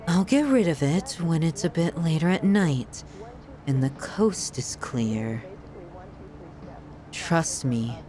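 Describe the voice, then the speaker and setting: A woman's voice: sits low, darkish tone. A woman voicing an obsessive, doting young yandere girlfriend in an ASMR roleplay, with a cutesy, giggly manner and an undertone of menace.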